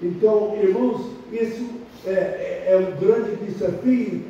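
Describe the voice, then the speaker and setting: Older foreign pastor preaching in echoey church. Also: male voice, sits high, unhurried, dark tone, a little hiss or hum. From abroad, not Brazil. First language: not Portuguese. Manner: emphatic preaching with repetitions and restarts. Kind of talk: sermon. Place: church hall. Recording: very echoey church hall, distant muffled mic.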